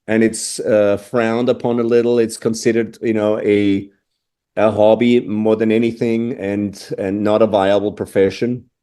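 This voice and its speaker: A middle-aged man from Austria, a drummer answering interview questions thoughtfully, often pausing with "you know". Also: fairly low pitch, level tone, warm tone, clear, resonant tone. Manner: thoughtful, restarts phrases, frequent "you know".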